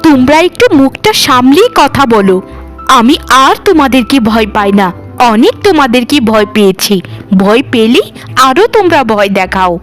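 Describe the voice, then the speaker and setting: Woman playing a high-voiced, defiant young wife. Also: very animated, normal speed, faint music behind. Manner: defiant, firm. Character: wronged young daughter-in-law turned bold, threatening giantess. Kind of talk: cartoon voice acting.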